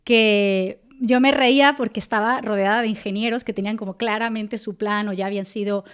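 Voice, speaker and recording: A woman with a Castilian Spanish accent and a smooth, clean voice at an average pitch. She speaks as an expert guest in a podcast interview. Her delivery is conversational and articulate, laying out explanations in detail. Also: fairly even intonation, a little fast. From Spain, La Mancha. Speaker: guest journalist and political analyst.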